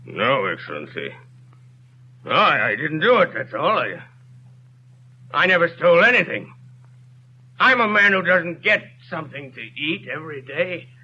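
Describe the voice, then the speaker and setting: An actor playing a poor, bewildered peasant pruner on trial, stammering and pleading in a 1930s radio drama. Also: male voice, fairly high pitch, sing-song, moderate pace, long pauses, sharp tone, husky, exaggerated voice. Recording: dull lo-fi 1930s radio broadcast, faint hiss.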